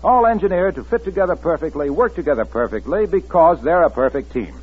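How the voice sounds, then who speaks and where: An American man works as a radio pitchman, with a highish, very animated, polished delivery in 1940s style. The recording is tinny and old. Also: fairly quick, husky. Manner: polished, emphatic salesman delivery. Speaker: announcer and sponsor pitchman. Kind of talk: 1940s radio commercial announcing.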